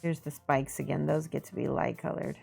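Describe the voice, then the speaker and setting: A woman's voice: low voice, brisk, vocal fry, slightly rough, soft background music. A woman with a Midwestern accent, chatting casually on a live stream while she paints miniatures, talking her steps through aloud. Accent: Midwestern American. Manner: relaxed, thinking aloud, greeting chat viewers.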